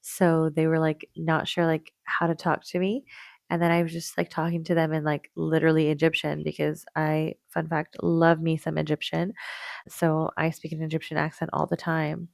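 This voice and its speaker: Chatty woman with a gravelly edge. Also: sits low, dry recording. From Canada. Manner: chatty storyteller, quick bursts of excitement. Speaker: podcast co-host. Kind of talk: casual chat.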